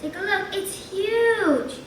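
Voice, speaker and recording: A woman voicing an excited young boy who exclaims eagerly in a high voice. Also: slow, background noise, heavily acted. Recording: echoey room, far from mic, ambience.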